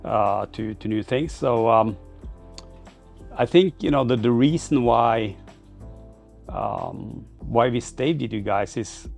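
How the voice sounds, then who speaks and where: A man's voice: medium pitch, slow pace, creaky, a little mellow, faint music behind. A man giving informal interview answers as a startup founder, in a hesitant, loosely phrased, rambling way. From Norway.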